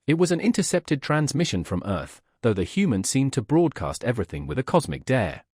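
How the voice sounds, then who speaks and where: Male narrator, mid-range pitch with plenty of movement, wry scripted sci-fi storytelling. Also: sing-song, fairly quick, a little mellow, husky, no room sound, clean studio sound.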